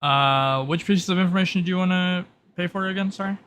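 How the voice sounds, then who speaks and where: Male game master, bright tone, halting explanatory delivery, faint noise behind. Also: sits high. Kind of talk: improvised narration of a tabletop roleplay.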